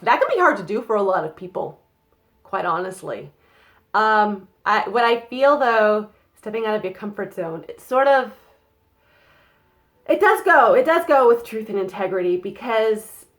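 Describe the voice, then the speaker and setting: A young woman with a cold and a slightly nasal voice, giving a casual card reading to her viewers with lots of fillers and quick "okay?" checks. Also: mid-range voice, animated. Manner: chatty, many fillers and tag questions. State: has a cold. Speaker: psychic card reader. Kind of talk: casual tarot-style reading to camera.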